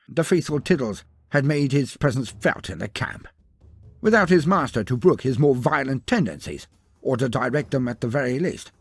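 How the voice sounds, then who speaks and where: A man's voice: mid-range voice, no room sound. A man narrating a scripted fantasy tale in a theatrical, florid and wry manner. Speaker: storyteller narrator.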